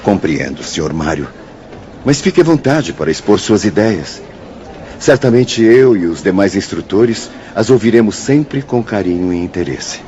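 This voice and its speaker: Mellow, low, courteous young instructor. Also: male voice, very animated, normal speed. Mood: reassuring. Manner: gentle, formal, reassuring. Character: kind, courteous young male spirit instructor. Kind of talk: radio drama acting. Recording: dull lo-fi audio, faint ambient noise.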